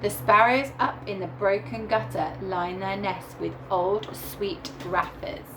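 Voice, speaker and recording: Female primary teacher, mid-pitched, slow and expressive children's read-aloud, faint noise behind. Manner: deliberate, animated storytelling with emphasised words. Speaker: primary school teacher reading to her class.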